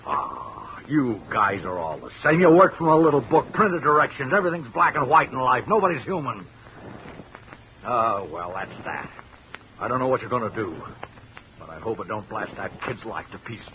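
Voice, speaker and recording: A man's voice: medium pitch, sing-song, normal speed, lightly acted. An actor playing a defensive, middle-aged working-class watchman with plain, ungrammatical speech in an old radio detective drama. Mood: bitter, earnest. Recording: dull vintage radio recording, faint hiss.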